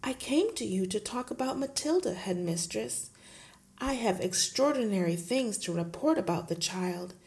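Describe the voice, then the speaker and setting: A woman voicing a gentle, earnest young teacher who pleads softly and politely. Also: mid-range voice, expressive, normal speed, voice pushed up, close to an everyday voice.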